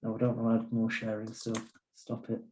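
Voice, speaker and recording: A man hosts an online user group meetup over a video call, running through announcements casually. His voice sits somewhat low. He talks in a halting way, with frequent pauses and restarts. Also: monotone, darkish tone, a little hiss or hum. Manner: casual, hesitant, many fillers and restarts. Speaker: user group organiser and host.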